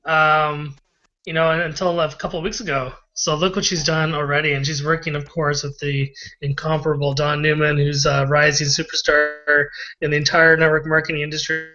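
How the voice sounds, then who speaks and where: A man's voice: a little high, level tone, normal speed, bright, forceful tone. A man hosting a promotional live video call, praising guests with enthusiastic, hype-filled talk. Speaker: host and admin of an online business call. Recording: dull lo-fi video call audio.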